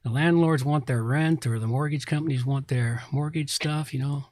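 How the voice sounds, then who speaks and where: A man's voice: mid-range voice, moderate pace, darkish tone. Blunt, rambling man chatting casually. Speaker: podcast co-host. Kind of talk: casual pre-show chat.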